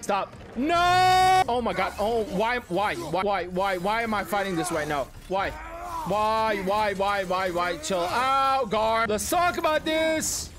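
A man reacts to a video game in a very high-pitched, wildly swinging voice. He is excitable, repeats short phrases over and over and tends to shout. Loud music plays behind him. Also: takes it slowly. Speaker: game streamer and video creator. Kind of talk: excited gameplay reaction commentary. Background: video game music and sound.

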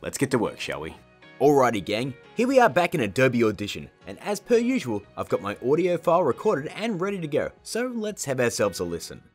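A man's voice: mid-range voice, wildly swooping pitch, brisk, a little mellow, breathy edge. A man in his thirties presents a casual tutorial with music behind him. His voice moves up and down a lot. He is chatty and playful, with an informal way of guiding listeners through each step. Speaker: tutorial host. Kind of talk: casual scripted video tutorial.

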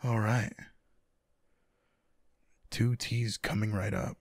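Man acting a husky, low-voiced tender boyfriend. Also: flat delivery, dry recording, clean studio sound. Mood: relaxed. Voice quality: husky, gravelly. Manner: soft, affectionate, unhurried. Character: tender, teasing, sleepy male lover. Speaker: ASMR roleplay voice actor. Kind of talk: intimate boyfriend ASMR roleplay. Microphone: close microphone, dry.

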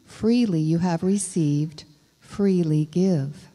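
A calm, patient young man, read by a woman, speaking gently in a low, mellow voice. The words carry the long reverb of a large hall. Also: slow pace.

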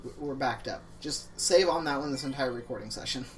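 A man's voice: mid-range voice, a little fast, fairly bright tone, far from the mic. Wry young man, slightly off-mic. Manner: wry, joking. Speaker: let's play co-host. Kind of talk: casual gaming banter.

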